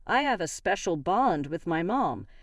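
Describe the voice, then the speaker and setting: A woman's voice: fairly low pitch, sing-song, unhurried, no echo, studio quality. A woman playing an evasive, defensive husband in a narrated story, with a pleading manner. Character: evasive, defensive husband devoted to his mother. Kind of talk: acted dialogue in a narrated story.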